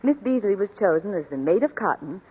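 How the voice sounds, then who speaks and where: A woman's voice: medium pitch, animated, a little fast, breathy edge. A woman speaking as a fashion reporter in scripted 1940s radio advertising chat. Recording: muffled 1940s radio broadcast.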